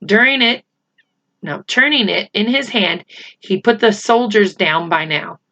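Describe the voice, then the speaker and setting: A woman narrates a children's story at a slow pace. Her reading is expressive and unhurried, and the recording is slightly muffled. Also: medium pitch. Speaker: storybook reader. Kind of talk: scripted children's book narration.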